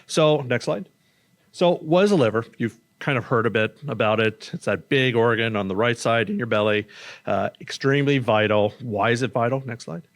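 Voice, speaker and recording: A man's voice: medium pitch, moderate pace. A man, an oncologist, giving a casual, plain-spoken public talk with slides in a conference room, speaking into a stage microphone. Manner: casual, plain-spoken, says he has a loud voice.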